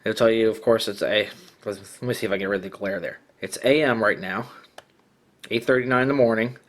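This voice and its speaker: A man's voice: fairly low pitch, steady tone, brisk, a bit bright. A man giving a casual, hands-on product demonstration, explaining things in a plainspoken way. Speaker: product reviewer.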